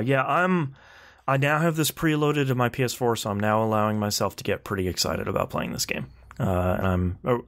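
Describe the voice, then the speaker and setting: A man's voice: fairly low pitch, vocal fry, a bit bright. A man hosting a video game podcast in casual conversation, talking in a rambling, enthusiastic and wry way. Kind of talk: casual podcast chat about video games.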